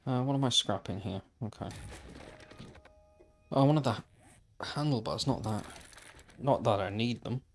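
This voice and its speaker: A man's voice: mid-range voice, a little slow, pauses often, slight background noise. Man musing aloud while gaming. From Wales. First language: Welsh. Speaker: gaming livestreamer. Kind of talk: casual chat while playing.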